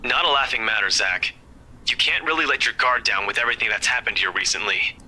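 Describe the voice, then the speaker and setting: A man's voice: mid-range voice, brisk, sharp tone, a little hiss or hum, low-quality recording. An actor playing a concerned young male police officer and friend in a visual novel. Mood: worried, serious.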